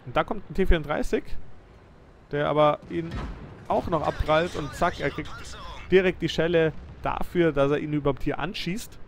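A man's voice: on the high side, moderate pace, gravelly, slight background noise. Upbeat man calling tank battle replay. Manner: lively, excited play-by-play with frequent praise. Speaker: game commentator. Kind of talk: let's play commentary over a viewer's replay.

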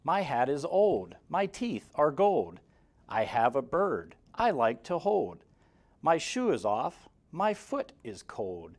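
A man in his forties reading a rhyming children's picture book aloud, expressively, in a measured sing-song with clear emphasis. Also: fairly high pitch, wildly swooping pitch. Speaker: guest story reader for kindergarteners. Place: indoor room, seated in front of a banner. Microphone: lapel mic.

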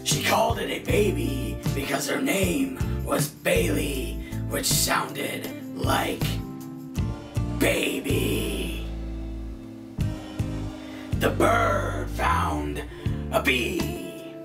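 Cheap batman voice, growly n super slow, dramatic pauses, roomy with music behind. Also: medium pitch, huge pitch swings, full character voice. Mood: mock solemn. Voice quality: gravelly, breathy growl. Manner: dramatic, halting, deliberate delivery. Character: low-budget parody Batman, gravelly earnest masked hero. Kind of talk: comedic story reading in character. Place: small bedroom, indoors. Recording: roomy echo, music underneath.

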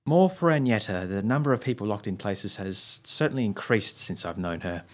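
A man playing an unflappable, long-suffering sidekick in an audio drama, with a dry, wry, measured manner. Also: lowish voice, animated.

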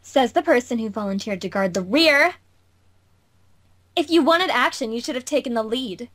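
A woman's voice: a little high, expressive, normal speed, a bit bright. A woman voicing an irritable, cocky young girl mage in an amateur anime visual novel dub, with bratty, exclamatory delivery. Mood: irritated.

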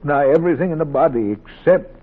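Gruff grieving doctor, husky and creaky, weary but volatile, vintage radio drama on a tinny recording. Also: male voice, a little high, animated, fairly quick. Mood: sorrowful, earnest. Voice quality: gravelly, husky. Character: gruff, grieving older Southern doctor and surgery teacher. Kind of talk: 1940s radio acting.

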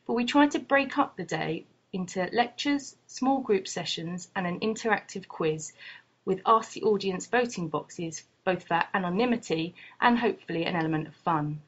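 A woman with a fairly low, husky voice narrates a scripted promotion as a course lead. She speaks formally and articulately, and the sound is a little muffled and lo-fi. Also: animated, moderate pace. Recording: muffled lo-fi voice-over.

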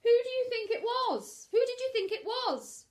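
A woman's voice: very high-pitched, lively intonation, moderate pace, pitched above the performer's own voice. A woman voicing a cheerful, greedy male teddy bear from a children's storybook, bouncy and eager. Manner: sing-song, eager, bouncy.

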